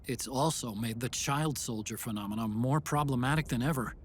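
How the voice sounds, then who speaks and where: A man's voice: mid-range voice, a little fast, slight background noise, natural voice. An actor playing an earnest, brainy male tech expert in a video game, speaking in an explanatory way. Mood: earnest, concerned. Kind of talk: video game cutscene acting.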